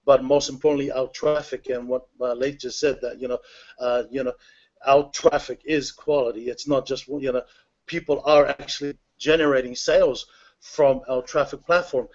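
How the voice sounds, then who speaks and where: A man hosting an online members' call, talking in a halting, filler-heavy, upbeat way. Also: mid-range voice, steady tone, lo-fi sound. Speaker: host of a members' webinar. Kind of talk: casual online webinar hosting.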